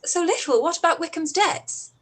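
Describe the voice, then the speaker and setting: Actress as young Regency woman, high voice, earnest, outspoken, formal period diction, radio play. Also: normal speed. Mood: reading aloud, surprised. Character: Lizzy, anguished, outspoken young Englishwoman of Regency times.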